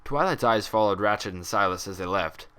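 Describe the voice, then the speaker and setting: A man's voice: lowish voice, a little fast, pushed and bright, clear, resonant tone. A man reading fan fiction aloud as scripted story narration. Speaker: fan fiction audiobook reader.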